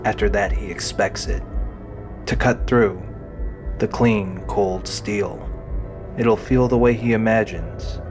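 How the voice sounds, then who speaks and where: Low-voiced man narrating dramatic fiction. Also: fairly even intonation, slow pace, music in the background.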